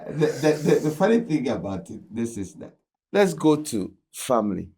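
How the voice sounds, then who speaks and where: A man's voice: medium pitch, sing-song, moderate pace, warm tone, breathy edge. A man hosting a podcast conversation, talking casually and stumbling over repeated words as he searches for them. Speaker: podcast host. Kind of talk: casual interview chat.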